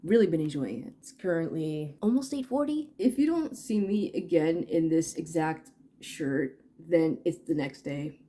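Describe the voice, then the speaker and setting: Young woman, dark mellow tone, chatty rambling home vlogger. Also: low voice, expressive, unhurried. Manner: rambling, full of "like" fillers. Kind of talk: casual chat to camera. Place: at home, indoors.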